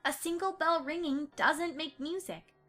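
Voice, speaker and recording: Girl playing nerdy earnest pony in audio drama, high n bright, explains everything precisely, faint music behind. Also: a little slow. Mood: earnest, wistful. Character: earnest, bookish young female pony, easily defensive. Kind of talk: amateur audio drama acting.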